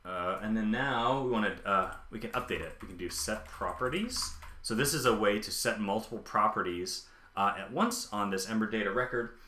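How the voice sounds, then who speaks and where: A man's voice: fairly low pitch, normal speed, sharp tone, slight background noise. A man in his thirties live coding on a stream, thinking aloud with many ums and answering chat questions. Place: home office, indoors. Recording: echoey room.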